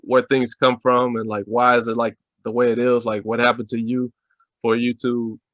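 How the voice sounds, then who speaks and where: Podcast host, man, bright voice, dry close sound, stumbling questions. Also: medium pitch, little pitch movement, dry recording, highs cut off. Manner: halting questions, short backchannels. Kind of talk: casual podcast interview.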